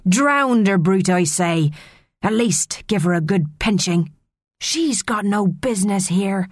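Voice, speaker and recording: A woman's voice: mid-range voice, lively intonation, takes it slowly, dry recording, studio quality. A woman voicing a blunt, brash mermaid in a storybook, full of salty sailor slang. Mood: jeering.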